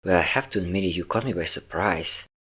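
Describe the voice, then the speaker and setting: A man's voice: low-pitched, fairly quick, fairly bright tone, husky. A man playing a centuries-old, possessive and doting vampire captor in an intimate audio roleplay, coaxing and teasing his listener. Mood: teasing, pleased.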